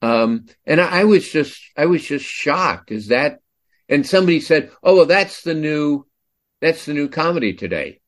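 A man's voice: a little high, big pitch swings, moderate pace. A man hosting a podcast, chatting casually and telling a story with incredulous asides. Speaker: podcast host. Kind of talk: casual interview chat.

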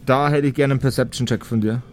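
A man's voice: mid-range voice, steady tone, quick pace, a little mellow. A man narrating an improvised tabletop game as game master, searching for words and slipping in jokes. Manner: hesitant, searching for words, jokey.

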